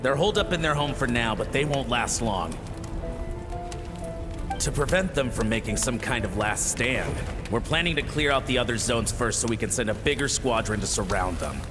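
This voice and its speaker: Game soldier, played by a man: mid-range voice, flat, dutiful, brutal. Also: mid-range voice, normal speed, over music, close to an everyday voice. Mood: reporting. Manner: matter-of-fact, deferential to superior. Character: brutal male soldier reporting to his captain.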